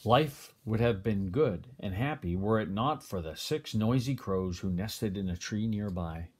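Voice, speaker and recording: An older man reads a children's picture book aloud as a grandfather would, with expressive, deliberate phrasing. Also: lowish voice, husky. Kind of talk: storybook read-aloud.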